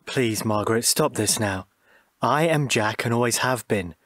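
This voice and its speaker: An actor playing a gentle, anxious husband in a radio drama, speaking in a hesitant, halting way. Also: male voice, medium pitch, no room sound, clean studio sound, lightly acted. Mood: pleading, halting. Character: gentle, patient, anxious husband pleading with his partner.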